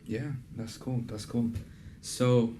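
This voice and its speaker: A young man hosting a casual interview and pitching his program in an earnest, conversational way, with plenty of fillers. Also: steady tone, slow pace, warm tone, slight background noise. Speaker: host and program founder. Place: in a building in a city business district.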